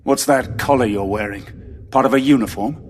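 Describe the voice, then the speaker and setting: A man's voice: mid-range voice, fairly quick, a little hiss or hum, lightly acted. An actor playing a weary, stern male military commander who gives clipped orders. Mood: brusque. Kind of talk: audio drama acting.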